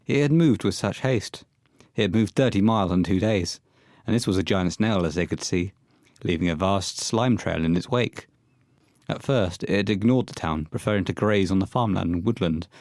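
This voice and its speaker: A man's voice: sits low, moderate pace, a little mellow, dry recording. A man reading scripted horror story narration for an audio play, close on the mic.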